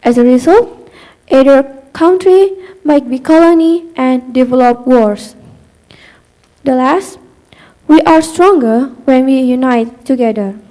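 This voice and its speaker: A young woman from Indonesia steps through a prepared student presentation point by point. Her voice sits high and mellow. She speaks slowly and with care. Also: rough recording. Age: young adult. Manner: reciting numbered points carefully.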